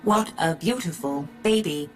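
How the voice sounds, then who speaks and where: Low-voiced, formal robot nanny. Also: female voice, soft background music. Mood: gently formal. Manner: formal, precise, measured phrasing. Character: polite, devoted female robot nanny. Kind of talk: radio drama acting.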